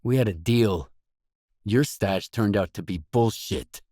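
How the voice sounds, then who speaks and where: A male actor playing a weary, tough, guarded stalker mercenary in a video game, speaking in blunt, deadpan lines. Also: fairly low pitch, unhurried, dry recording, studio quality. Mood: curt, menacing. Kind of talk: video game voice acting, isolated dialogue lines.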